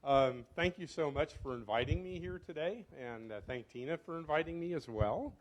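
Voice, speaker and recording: Chatty older professor lecturing a club. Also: male voice, a little high, expressive, normal speed, low-quality recording. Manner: chatty, self-deprecating, frequent ums and restarts. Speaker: guest speaker, environmental toxicology professor. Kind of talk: informal lecture. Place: meeting room. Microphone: handheld or podium microphone.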